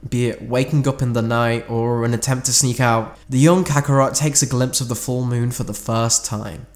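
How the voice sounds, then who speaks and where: Over clearly audible background music, a man delivers fan commentary in a mid-range voice. He sounds conversational, moving through scripted narration and slipping in wry asides. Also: mid-range voice. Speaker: video essay narrator.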